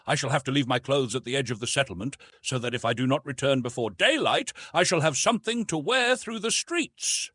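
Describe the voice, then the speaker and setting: A man voicing a fearless jungle-raised man in an audiobook, speaking in a dry, measured, self-assured way. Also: mid-range voice, lively intonation, fairly bright tone, breathy edge, dry recording, voice pushed up. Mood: calm, resolute. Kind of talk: audiobook character dialogue.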